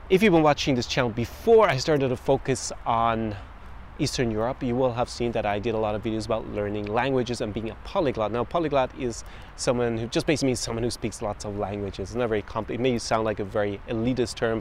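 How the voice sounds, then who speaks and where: A man's voice: mid-range voice, brisk, a little hiss or hum. A young man from Ireland with a faded, mixed Irish accent, talking casually as a podcast host, with frequent fillers and restarts. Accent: mixed, faded Irish with international influences. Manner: conversational, with frequent fillers and self-corrections. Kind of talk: casual talk to camera. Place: outdoors in a city street.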